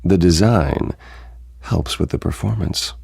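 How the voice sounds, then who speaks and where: A man voicing a brooding, controlled masked vigilante in a video game, terse and gravelly. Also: low-pitched, unhurried, a little mellow, no room sound, studio quality, heavily acted. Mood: grim, focused. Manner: terse, clipped.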